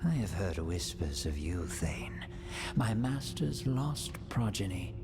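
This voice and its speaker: An actor playing a sinister, mocking henchman of a dark master in a video game, with silky, breathy menace. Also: deep voice, very animated, heavily acted. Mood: sinister, taunting. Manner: slow, mocking, breathy menace dropping to whispers. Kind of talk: video game villain voice acting. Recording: music under the voice.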